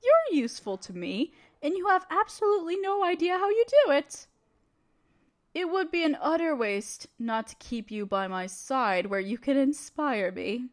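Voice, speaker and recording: A woman's voice: high voice, sing-song, normal speed. A woman playing a haughty, scheming evil empress in a scripted audio roleplay, speaking imperiously and theatrically to a listener. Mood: gleeful, plotting.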